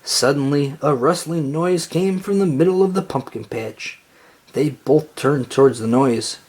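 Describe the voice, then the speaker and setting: A storybook reader narrating aloud for children in an expressive read-aloud style. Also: medium pitch, measured pace, slightly rough.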